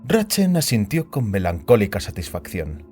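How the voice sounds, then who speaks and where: A man's voice: on the low side, wildly swooping pitch, moderate pace, a little mellow. A man narrating a scripted fiction story as a dramatic audio production. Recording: music bed behind the voice.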